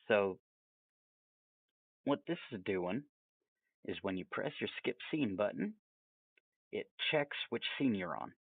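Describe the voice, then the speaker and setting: A man giving a casual, off-the-cuff software tutorial, rambling along with chatty, self-amused asides. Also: on the low side, vocal fry, dry recording, narrow, tinny audio. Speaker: tutorial presenter.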